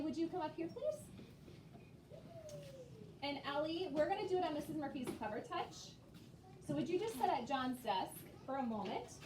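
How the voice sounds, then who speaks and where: High-voiced woman teacher in an echoey classroom. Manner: directive, guiding step by step. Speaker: elementary school teacher. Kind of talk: classroom instruction to children. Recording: echoey room, distant mic, faint noise.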